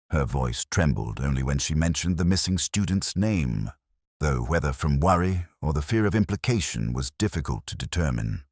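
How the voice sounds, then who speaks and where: A man performs a melancholy detective telling his case as scripted mystery narration. The voice is extremely deep yet moves expressively in pitch, close and dry in sound. The phrasing is deliberate, literary and brooding. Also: dry recording, full character voice. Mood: brooding, observant.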